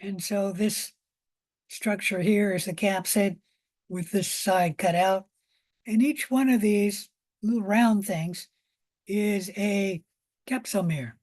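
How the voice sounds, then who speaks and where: A woman lecturer with a hoarse voice and a medium pitch. She speaks slowly, pausing and repeating as she explains things informally to a college class. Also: a little mellow. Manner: unhurried explaining with pauses and repetition.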